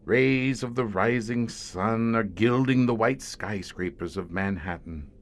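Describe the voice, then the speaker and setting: An actor playing a haunted older police inspector in a radio horror drama, brooding and theatrical in his delivery. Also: fairly low pitch. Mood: bleak, resigned. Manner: slow, brooding, grandiose and theatrical. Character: haunted, doomed older male tunnel police inspector, slowly turning monstrous.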